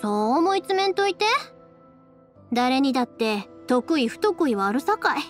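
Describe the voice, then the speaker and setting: An actress playing a cheerful, big-hearted young fireworks maker in an anime game, speaking with a Kansai accent. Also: female voice, high-pitched, moderate pace, soft background music. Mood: reassuring. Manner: lively, friendly, expressive dialect talk. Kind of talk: Japanese anime game voice acting.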